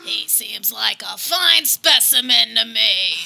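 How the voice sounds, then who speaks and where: A woman's voice: on the high side, lively intonation, slow pace, vocal fry, raised voice, very noisy, full character voice. A woman playing a hesitant, rambling, easily satisfied apartment superintendent in a comedic radio play. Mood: placating. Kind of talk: comedic radio theater acting.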